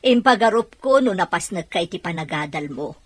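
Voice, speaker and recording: A woman playing a patient but exasperated landlady in a Filipino radio drama, with a husky voice. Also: on the low side, expressive, a little fast, dry recording. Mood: scolding.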